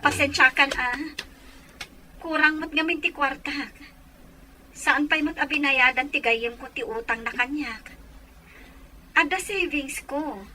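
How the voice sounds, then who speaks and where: A woman's voice: sits high, measured pace, long pauses, bright, forceful tone, a little hiss or hum, exaggerated voice, low-quality recording. A woman playing a worried elder sister in an audio drama, pleading and apologetic as she talks. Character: worried, pressed elder sister short of money.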